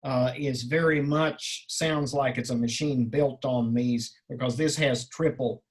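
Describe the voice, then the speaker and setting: A man commenting informally in a halting, rambling way, often interrupting his own sentences. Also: mid-range voice, steady tone. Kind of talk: informal spoken commentary on a play reading.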